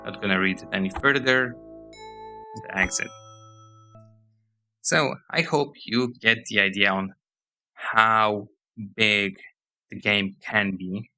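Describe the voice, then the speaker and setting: A man giving rambling let's-play commentary on a game, hoarse as he loses his voice, reading menus and game text aloud. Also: on the low side, takes it slowly, long pauses, bright, forceful tone, dry recording, soft background music. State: hoarse, losing voice. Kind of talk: casual gameplay commentary.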